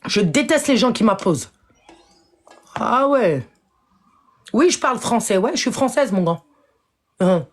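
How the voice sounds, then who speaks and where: A heated woman streamer jumps up and down in pitch as she scolds the people watching her live. She turns confrontational and indignant, firing back at viewers one moment and muttering the next. Her talk is unscripted and spoken straight to her audience. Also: animated, a little slow, pauses often. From France. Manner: indignant, confrontational, swings between shouting and muttering; addresses viewers directly, scolds commenters.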